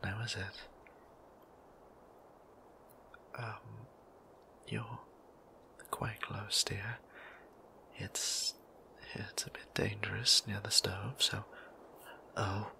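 A man playing a shy, devout vampire priest in an ASMR roleplay, speaking in a hesitant, gently formal, breathy whisper. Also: lowish voice, little pitch movement, slow pace, pauses often, heavily acted. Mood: flustered, shy.

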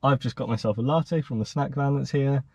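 A man's voice: mid-range voice, brisk, darkish tone, breathy edge, no echo. A young man chatting to camera in a casual, upbeat travel vlog, recorded inside a parked car with a dashboard camera. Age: young adult. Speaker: vlogger.

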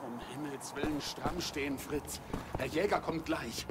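An actor playing a stern, nagging German guard in a video game, with a German accent. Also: male voice, on the high side, normal speed, heavy background noise, close to an everyday voice. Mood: exasperated scolding. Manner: nagging, exasperated. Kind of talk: video game cutscene acting.

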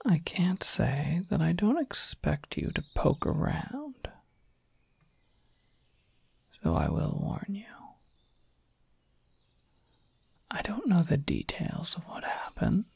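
A suave male incubus acted by a man speaks in a soft, very breathy whisper. He is sly and teasing, lingering slowly over his words. It is an intimate ASMR roleplay, close on the mic with a dry, dark sound. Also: sits high, wildly swooping pitch, dry recording, exaggerated voice. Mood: sly, knowing. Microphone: close microphone.

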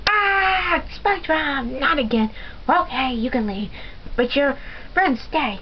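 A woman putting on a raspy ghost pirate captain. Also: high voice, lively intonation, takes it slowly, a little hiss or hum, pitched above the performer's own voice. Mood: gruff. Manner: bellowing pirate growl, rolled r's. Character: gruff male ghost pirate captain, menacing. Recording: dull lo-fi game capture with music.

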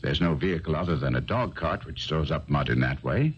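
Self-assured gentleman detective, very low voice, lively intonation, precise, 1970s radio drama. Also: brisk, dull audio. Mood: courteous, reassuring. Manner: urbane, precise, dryly teasing. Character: brisk, courteous, self-assured male detective. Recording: faintly noisy 1970s radio broadcast.

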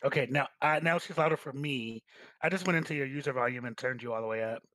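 A man's voice: mid-range voice, fast talker, a bit bright, no room sound. A man chatting casually with fellow readers over an online voice call during a staged reading. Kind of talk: casual chat.

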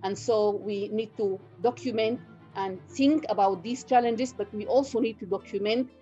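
A middle-aged woman from Sudan, a native Nubian speaker, lectures as a professor over a video call from home. Her voice is mellow and relaxed, and she talks in a measured way, pausing on fillers and restarting phrases. The audio is dull, with faint music behind her. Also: mid-range voice. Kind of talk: online guest lecture. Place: home room.

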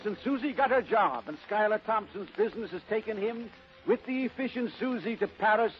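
A man's voice: high-pitched, slight background noise. A man narrating a radio drama in a scripted 1930s storytelling style. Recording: muffled old 1930s radio recording.

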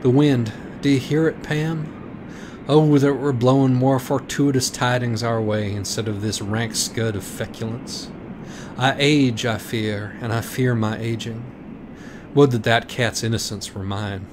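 A man's voice: mid-range voice, fairly even intonation, unhurried, background noise, lightly acted. A man voicing a gloomy young girl in an audiobook, with florid, melodramatic, archaic laments. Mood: dread, lament.

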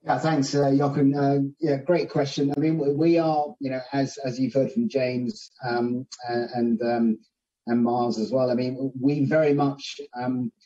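A man's voice: mid-range voice, level tone, a little slow, dark tone. A man in his forties answering a panel question in a chatty way, full of "you know" and restarts, heard over a video call. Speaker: financial centre executive on a panel. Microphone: webcam on a video call. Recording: dull, distant video call audio.

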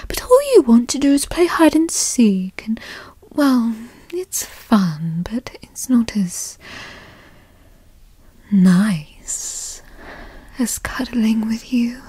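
A woman playing a possessive, doting, teasing girlfriend in a whispered ASMR roleplay, coaxing and cooing in a breathy voice. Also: a little high, expressive, dark tone, no room sound, exaggerated voice. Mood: cajoling, possessive. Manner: coaxing, cooing, slow and indulgent. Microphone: close studio mic.

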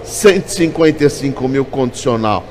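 A man with a Brazilian Portuguese accent working as an auctioneer, calling out bids for vehicles in a fast, repetitive chant. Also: mid-range voice, lively intonation, slight background noise.

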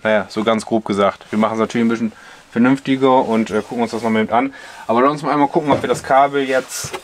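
A man's voice: mid-range voice, fairly even intonation, quick pace, slight background noise. A man in his thirties chatting casually while reviewing gear inside a camper van, explaining with lots of fillers. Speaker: vlogger. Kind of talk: casual product review vlog.

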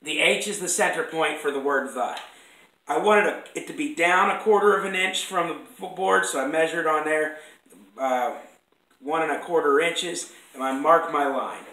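Hoarse male shop instructor, 30s, rambling demo talk, bright edge, roomy echoey workshop sound. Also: a little high, normal speed. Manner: rambling, self-correcting, apologetic asides. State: hoarse from a cold. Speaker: woodworking instructor. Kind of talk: casual workshop demonstration. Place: indoor woodworking shop. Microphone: fixed camera across the workbench.

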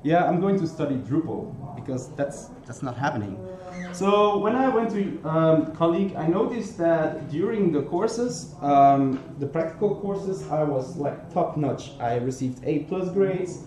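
Young Belgian-accented male speaker, mellow and slightly high, earnest, halting with ums, in a roomy hall with faint hiss. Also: animated. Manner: many ums and restarts, earnest. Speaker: conference speaker. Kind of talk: conference talk. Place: conference hall. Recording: echoey hall with faint noise.

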